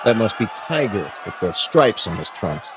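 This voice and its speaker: A man's voice: lowish voice, big pitch swings, normal speed, background noise. A man acting in a radio drama as a sardonic know-it-all scientist, lecturing in a dry, clinical, pedantic way. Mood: sarcastic. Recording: music behind the voice.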